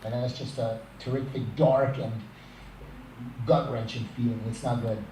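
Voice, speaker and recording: A man from Eastern Hungary with a Hungarian accent, co-hosting an animated, joking casual chat over a video call on his laptop mic. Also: medium pitch, moderate pace. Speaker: co-host. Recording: roomy, distant, faint background hiss.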